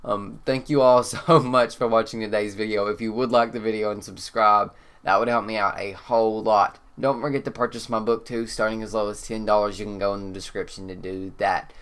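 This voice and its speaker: A man with a Kentucky accent, congested and slightly hoarse, explaining one of his photos in a relaxed, rambling way with lots of "um.". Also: lowish voice, fairly quick, few pauses. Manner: relaxed, rambling, frequent "um" fillers. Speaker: photographer and channel host. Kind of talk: casual talk-to-camera explainer. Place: indoors.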